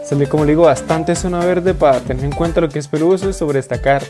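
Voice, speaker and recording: A young man, chatting excitedly in slang as he reacts to a video in a small room, speaking into earphones. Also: a little high, fast, music in the background. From Colombia. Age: 20s. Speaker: YouTube reactor. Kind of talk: casual reaction commentary. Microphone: wired earphone mic.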